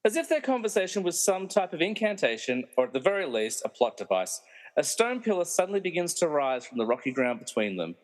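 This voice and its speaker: Theatrical comedy narrator played by a man, mid-pitched and very animated, dry mocking asides, long hall reverb. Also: a little fast. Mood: storytelling. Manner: wry, theatrical. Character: wry, meddling movie narrator who mocks the characters. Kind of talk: dramatic scripted narration with comic asides.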